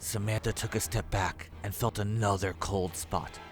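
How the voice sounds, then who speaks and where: Low-voiced man narrating horror over music. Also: steady tone, moderate pace. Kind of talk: scripted horror story narration.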